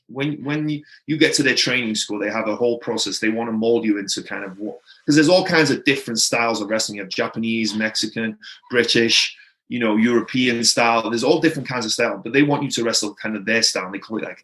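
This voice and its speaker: Welsh male guest, mid-pitched, casual rambling storyteller, lots of fillers. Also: fairly bright tone. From Wales. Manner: rambling, filler-heavy, frequent "right?" and "like". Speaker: podcast guest.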